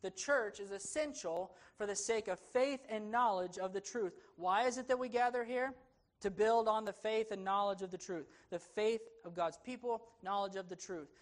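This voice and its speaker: A man's voice: high-pitched, normal speed. A man preaching an expository sermon in a church, earnest and emphatic, his delivery rising and falling for emphasis. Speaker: pastor.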